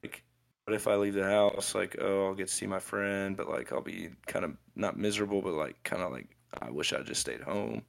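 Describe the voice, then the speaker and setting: A man's voice: low voice, brisk, gravelly. A man in his twenties co-hosting a casual podcast, giving rambling motivational advice with lots of restarts and self-corrections. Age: 20s. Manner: rambling, ranting, with frequent restarts. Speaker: podcast co-host.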